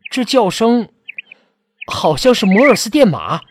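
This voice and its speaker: A woman performs an urgent soldier at a military base in an audio drama. The voice leaps widely in pitch and sits among clearly heard noises. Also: very animated, a little slow, background noise. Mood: startled urgency. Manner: urgent, exclamatory. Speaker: voice actor.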